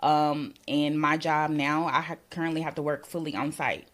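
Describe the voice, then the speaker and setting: Low-voiced woman chatting reflectively. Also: fairly even intonation, moderate pace. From Mississippi. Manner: reflective, thinks aloud with many "you know"s. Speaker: podcast guest, working mother. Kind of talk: casual conversation.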